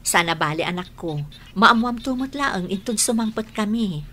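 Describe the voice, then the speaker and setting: A woman playing a gentle, reassuring mother in an Ilocano radio drama, soothing and encouraging. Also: medium pitch, lively intonation, normal speed, slight background noise.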